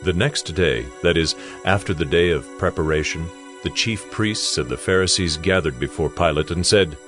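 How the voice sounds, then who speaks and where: A man narrates scripture in a very deep register. His delivery is solemn and measured, storytelling in a dramatized style, with music playing clearly behind him. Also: fairly bright tone.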